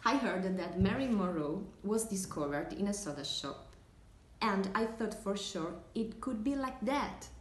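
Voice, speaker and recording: Young actress as a fragile, dreamy woman, Italian accent, slow hesitant dramatic monologue, roomy recording. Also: medium pitch, animated, natural voice. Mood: confiding, hopeful. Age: young adult. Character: wistful dreamy woman remembering lost dreams of stardom. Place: small indoor room, seated at table. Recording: echoey room, faint hiss.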